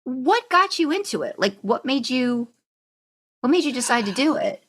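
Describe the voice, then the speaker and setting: A woman's voice: high voice, very animated, normal speed, a bit bright. A woman hosting a podcast in casual chat, talking in an informal, rambling way. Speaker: podcast host.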